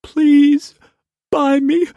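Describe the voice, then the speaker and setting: A man voicing a distraught young woman of twenty who pleads in a high, shrill voice. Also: sing-song, slow pace, fairly bright tone, dry recording, exaggerated voice. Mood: pleading, distraught.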